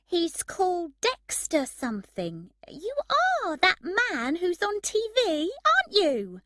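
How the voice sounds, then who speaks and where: Woman voicing an excitable, high-pitched young girl fan. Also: slow pace, no room sound, studio quality, heavily acted. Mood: excited.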